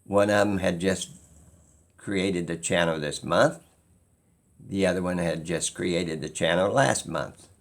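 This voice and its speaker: A man's voice: low-pitched, fairly even intonation, unhurried. A man giving an unscripted opinion monologue as a video creator, rambling and insistent, repeating phrases for emphasis.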